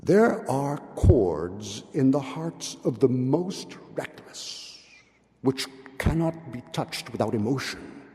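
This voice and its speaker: A man giving a dramatic, theatrical reading of gothic horror, with drawn-out words, pauses and hushed drops. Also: mid-range voice. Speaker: literary reader. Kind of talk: dramatic literary recitation of a gothic horror tale. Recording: long hall-like reverb.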